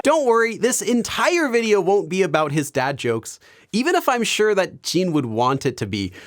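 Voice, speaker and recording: A man teaching Korean on his video channel, explaining things clearly and laughing often. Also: sits high, sing-song, moderate pace, heavy background noise. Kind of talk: educational video commentary.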